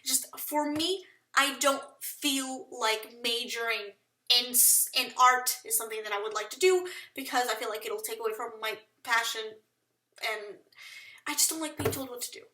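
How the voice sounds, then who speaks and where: A woman's voice: a little high, moderate pace, sharp tone, echoey room, distant mic, a little hiss or hum. A young woman from Portugal rambling self-consciously to the camera in a casual vlog, laughing often as she thinks aloud. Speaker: vlogger.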